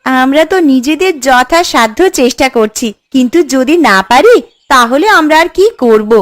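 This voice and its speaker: A woman's voice: high voice, expressive, normal speed. A woman voicing a modest, earnest village sister-in-law in a cartoon. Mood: earnest, resigned. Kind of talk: Bengali village cartoon dialogue. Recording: music under the voice.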